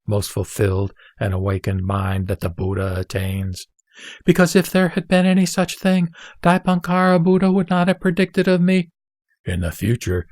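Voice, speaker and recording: A man playing a serene Buddha teaching a disciple in an audio drama of scripture, speaking in a measured, solemn, deliberate way. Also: on the low side, darkish tone, dry recording, studio quality, lightly acted.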